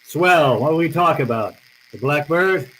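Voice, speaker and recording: An actor playing a wry, tough, unflappable private detective, laconic and sardonic, in old-time radio style. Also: male voice, fairly high pitch, expressive, measured pace, a bit bright, a little hiss or hum, low-quality recording. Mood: casual, probing. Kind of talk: old-time radio drama acting and first-person narration.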